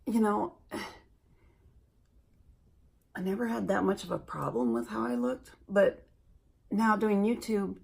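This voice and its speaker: A woman from Alaska chatting slowly and self-deprecatingly while doing her makeup for a beauty vlog. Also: mid-range voice, creaky. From Alaska, USA. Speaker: beauty vlogger.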